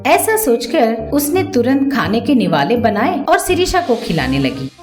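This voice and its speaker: Casting: female narrator for scripted moral tales, high register, roomy sound with background music. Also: sing-song, measured pace. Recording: echoey voice over background music.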